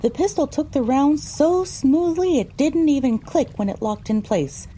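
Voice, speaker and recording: A woman's voice: high voice, animated, a little slow, warm tone, a little hiss or hum, low-quality recording. A woman narrating an audio drama in the first person from a script.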